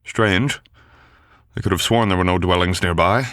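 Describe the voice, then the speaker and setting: A man's voice: deep voice, moderate pace, a bit bright, no echo, studio quality. A man voicing a young male knight, wounded, gallant and protective, speaking in an old-fashioned courtly way. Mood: bewildered. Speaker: voice actor. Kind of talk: boyfriend ASMR audio drama.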